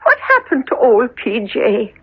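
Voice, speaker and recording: A tinny old radio recording of a feisty Irish charwoman. Her voice is high, colorful and indignant, full of superstition. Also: wildly swooping pitch, measured pace, breathy edge, full character voice. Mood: feisty, wary. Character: superstitious, feisty Irish hospital cleaning woman. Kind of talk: 1970s radio drama acting.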